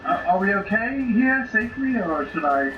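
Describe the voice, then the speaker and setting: A man's voice: sits high, sing-song, moderate pace, lo-fi sound. A man playing a tabletop game, improvising casual in-character banter in a joking, stop-and-start way. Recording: roomy, distant mic, noisy background.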